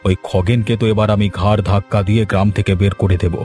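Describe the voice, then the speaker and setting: A man voicing a betrayed, dignified village headman in a cartoon fairy tale, grave and deliberate. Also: lowish voice, flat delivery, darkish tone, faint music behind, lightly acted. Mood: stern, resolute.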